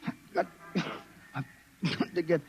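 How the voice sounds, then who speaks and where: An actor playing a desperate, drugged private detective, speaking in halting pleas with a husky voice in 1950s radio drama style. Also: male voice, slight background noise, close to an everyday voice. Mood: desperate, pleading. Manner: halting, stammering, pleading.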